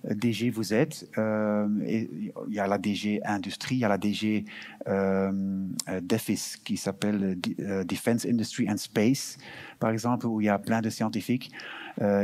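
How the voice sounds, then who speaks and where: Low-voiced man, recruitment office speaker at a Q&A, hesitant, lots of fillers, mixes in English terms. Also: a little mellow. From Belgium. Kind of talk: answering questions at an info session.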